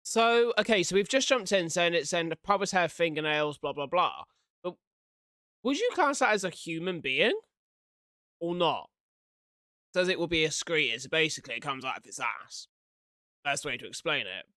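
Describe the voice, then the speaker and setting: Bright, higher-pitched man reacting casually. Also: animated, normal speed, long pauses, no echo, clean studio sound. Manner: informal, interjecting, incredulous, swearing. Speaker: reaction video host.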